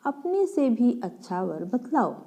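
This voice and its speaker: A woman's voice: fairly high pitch, big pitch swings, takes it slowly, warm tone, heavily acted. A woman voicing a dignified male sage and devoted father who speaks formally in a storybook tale. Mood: assured.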